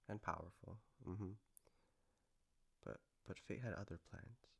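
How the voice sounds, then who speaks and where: Guy playing a sweet caring boyfriend, lowish crackly voice, soft n intimate comfort audio, kinda playful. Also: creaky, slightly rough, exaggerated voice. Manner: tender, soft, halting, playful. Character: gentle, doting male partner soothing a lover after a nightmare.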